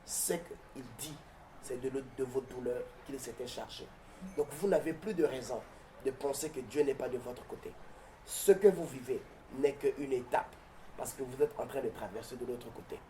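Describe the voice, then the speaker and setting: Congolese pastor guy, mbembe first language, preachy and emphatic, lots of euh, mellow voice, little bit of hiss. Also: mid-range voice, normal speed. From southern Congo-Brazzaville. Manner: preacherly, declamatory, with many hesitant "euh". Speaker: pastor and guest. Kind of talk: interview answers turning to a prayer.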